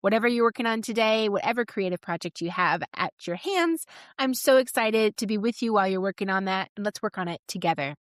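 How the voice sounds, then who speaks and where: A woman's voice: medium pitch, lively intonation, fast talker, no echo, studio quality. A woman speaking as a podcast host, giving scripted introductions and asking interview questions.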